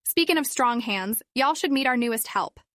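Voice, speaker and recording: Woman doing a jittery polite imp man, a bit high-strung, storybook reading. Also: expressive, normal speed, fairly bright tone, no room sound, clean studio sound. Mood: nervous. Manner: nervous, polite, wordy. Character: nervous nerdy male imp husband.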